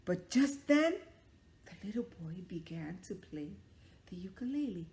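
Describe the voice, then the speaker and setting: A woman telling a story to young children, dramatic, stretching out words and dropping into hushed tones, with questions to her listeners. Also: on the low side, sing-song, measured pace. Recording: echoey room.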